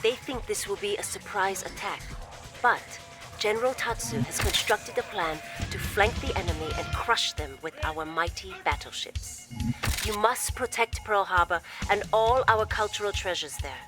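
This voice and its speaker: An actress playing a poised, devoted military logistics officer in a video game cutscene, speaking in a formal, measured and deferential way. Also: female voice, mid-range voice, natural voice. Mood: formal, resolute. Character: poised, devoted female imperial logistics officer briefing commanders. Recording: loud music behind the voice.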